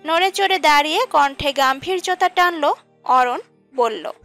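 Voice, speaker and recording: A female narrator tells a romantic story from a script. Her voice sits high for a woman. Music plays underneath the narration. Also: normal speed, pushed and bright. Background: background music.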